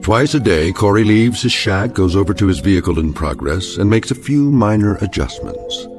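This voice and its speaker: A male actor as the grave narrator of an eerie radio tale, with a very deep voice that rises and falls widely. He is solemn and deliberate, with music playing clearly behind him. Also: lively intonation, lightly acted. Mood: solemn, ominous. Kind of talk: scripted radio drama narration.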